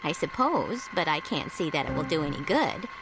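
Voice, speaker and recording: A woman playing a prim, unhelpful receptionist in a video game, formal and deadpan. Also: low voice, moderate pace, vocal fry, background noise, heavily acted. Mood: prim, dismissive. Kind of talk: video game cutscene acting.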